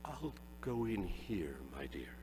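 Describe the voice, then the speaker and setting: A man voicing an old reformed miser who plays at gruffness and bursts with delight. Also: lowish voice, big pitch swings, takes it slowly, long reverb tail, noisy background. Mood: gleeful, sheepish. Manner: mock-gruff then delighted.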